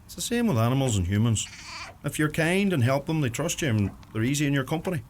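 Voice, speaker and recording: An actor playing a gentle, thoughtful young farmer with a Donegal Irish accent in a radio play. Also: male voice, medium pitch, lively intonation, quick pace, a little hiss or hum, lightly acted. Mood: fond, modest. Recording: music under the voice.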